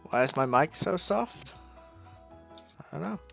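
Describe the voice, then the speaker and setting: A man with a croaky voice chatting casually and laughing easily while gaming with friends. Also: a little high, expressive, measured pace, a bit bright, soft background music.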